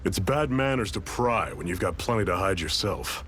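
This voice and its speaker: An actor playing a middle-aged, easygoing rebel leader in a video game, measured, reassuring and plainspoken. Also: male voice, deep voice, sing-song, fairly bright tone, a little hiss or hum. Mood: frank, steady. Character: seasoned, easygoing male rebel leader, fatherly and pragmatic. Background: background music.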